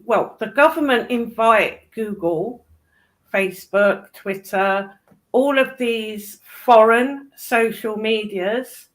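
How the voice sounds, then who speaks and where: A woman's voice: fairly high pitch, slow. A woman in casual discussion, speaking in a blunt, opinionated way.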